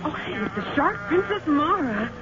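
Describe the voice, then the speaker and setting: A woman playing a plucky, excitable adventure sidekick in an old radio drama, breezy and slangy. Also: high-pitched, moderate pace. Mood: curious, excited. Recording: muffled, noisy old radio recording.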